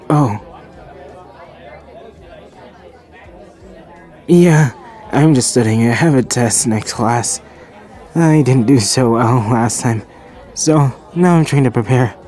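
A man playing a shy, kind teenage hero-school boy in an anime ASMR roleplay, speaking haltingly and apologetically, with stammers. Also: mid-range voice, dark tone. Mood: flustered, friendly.

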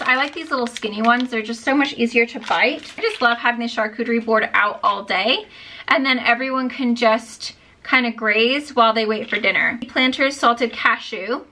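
A woman's voice: on the high side, pushed and bright, a little hiss or hum. A woman in her thirties chatting casually through a haul in her home kitchen, upbeat and full of "like" and "um". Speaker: lifestyle vlogger.

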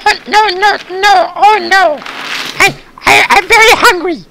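A performer voicing an excitable, hungry, impatient pet dog in a comic skit, pleading in frantic, repeated exclamations. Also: very high voice, a little slow. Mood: eager, puzzled. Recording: noisy recording with loud clicks.

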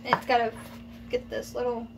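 A woman's voice: on the high side, monotone, brisk, vocal fry. A teenage girl filming a casual cooking demonstration in a small home kitchen on a phone, chatty and hesitant, often trailing off mid-sentence. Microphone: phone camera on the counter. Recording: home video with background noise.

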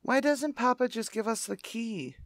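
A man plays a young boy in an old-fashioned radio drama. The voice is pitched high, anxious and plaintive, pressing its point insistently. The sound is close and dry. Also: normal speed, a bit bright, no room sound. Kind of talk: old-time radio play acting.

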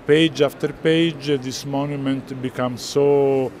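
A middle-aged man with an Italian accent explaining artworks to visitors, pausing often to search for words. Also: medium pitch, steady tone, darkish tone. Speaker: art gallerist presenting works. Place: large indoor art fair hall. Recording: busy hall crowd noise.

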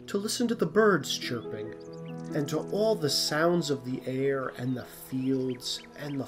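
Storyteller over plucked string music. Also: medium pitch. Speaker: narrator. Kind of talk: scripted storybook narration.

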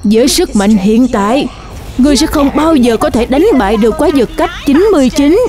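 Woman voicing a taunting, high-pitched cartoon shadow monster. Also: expressive, brisk, over music. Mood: gloating. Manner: boastful, menacing, taunting. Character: taunting one-eyed shadow monster that reads minds. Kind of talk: dubbed children's cartoon acting.

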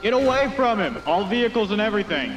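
A man's voice: high voice, sing-song, fast. A man improvising as an officer in an online roleplay game. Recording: roomy echo, loud music behind.

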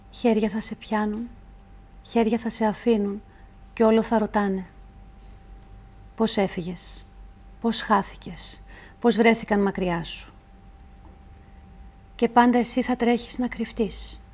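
A woman reciting literary poetry in a measured, deliberate way, with pauses between phrases. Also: mid-range voice, darkish tone. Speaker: poetry reader.

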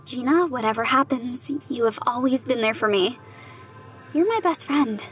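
A woman's voice: on the high side, expressive, normal speed, a bit bright, faint music behind. An actress playing a nervous young woman in a horror audio drama, a loyal friend who talks in questions. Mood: anxious resolve.

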